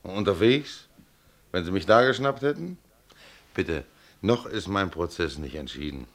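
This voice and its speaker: Deep-voiced, wistful old tram driver. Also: male voice, animated, unhurried, fairly bright tone, full character voice. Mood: wistful, stubborn. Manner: chatty, affectionate, sentimental muttering to himself. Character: proud, wistful old ex-tram driver, stubbornly in love with his tram. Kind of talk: German radio play acting. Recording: clearly noisy, tram rumble behind the voice. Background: vehicle and ambience noise.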